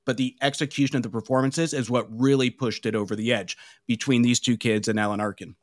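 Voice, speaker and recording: Give me a thoughtful man guesting on a film podcast, middle-pitched, with a dry close sound. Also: fairly even intonation, quick pace, dry recording. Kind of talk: casual film discussion.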